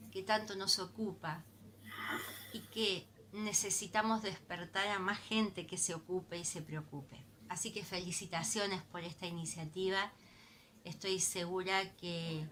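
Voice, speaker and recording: A woman's voice: on the low side, fairly bright tone, slight background noise. A woman from Argentina with an Argentine Spanish accent, giving an earnest, impassioned recorded video message as an activist. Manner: earnest, impassioned, repeats for emphasis.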